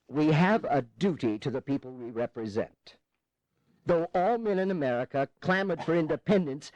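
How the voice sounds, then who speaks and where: A man's voice: a little high, dry recording, rough recording. An actor playing an earnest colonial statesman in an audio history drama, delivering formal, emphatic oratory that urges caution. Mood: grave, appealing. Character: earnest colonial statesman passionately urging caution against independence.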